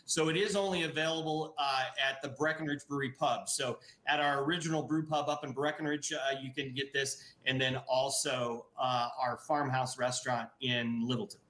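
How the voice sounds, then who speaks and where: A man's voice: mid-range voice, steady tone, normal speed, hardly any pauses, a bit bright. A middle-aged man in a casual video-call interview, talking about his brewery with lots of fillers and restarts. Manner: enthusiastic, many fillers and restarts. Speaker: brewery guest. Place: indoor office room. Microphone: video call webcam. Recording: roomy webcam audio.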